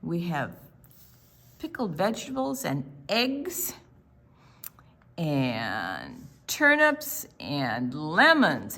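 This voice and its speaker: A woman reads a picture book aloud for children with very slow, drawn-out phrasing. Her pitch moves in lively swings, and her voice is husky. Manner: drawn-out, expressive list reading.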